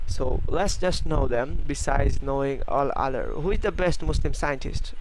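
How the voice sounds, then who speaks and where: A man's voice: mid-range voice, normal speed, slight background noise, low-quality recording. A young man chatting casually over a screen recording as he searches, thinking aloud and repeating names playfully. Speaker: channel host.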